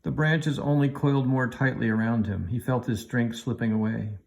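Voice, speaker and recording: A man reading a children's storybook aloud in an animated narrating style. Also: medium pitch, moderate pace, vocal fry, lo-fi sound. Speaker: elementary teacher reading aloud.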